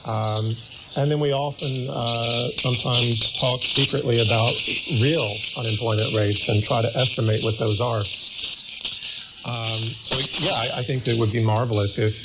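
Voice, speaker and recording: A man, a professor giving deliberate, pause-filled commentary in a radio interview. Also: lowish voice. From United States. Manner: slow, deliberate, pausing phrasing. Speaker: professor as panel guest. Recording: muffled shortwave radio, heavy static. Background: loud shortwave static.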